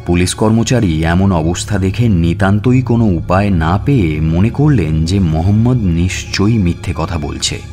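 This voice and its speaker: A man narrating a scripted suspense story in a measured, expressive, dramatic way. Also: low voice. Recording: music bed under narration.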